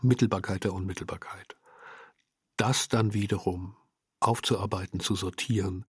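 A man giving a reflective interview statement about his work as a radio drama maker, phrasing deliberately and emphatically in fragments. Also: low-pitched, steady tone, husky, no echo, studio quality.

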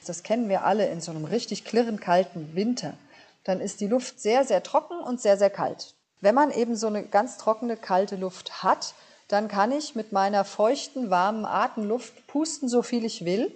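A woman's voice: mid-range voice, darkish tone, slightly rough. A woman with a mid-range voice speaks as an expert guest. She explains science for children in everyday language, taking it one step at a time and making each point plain. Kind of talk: explanatory interview answers for children.

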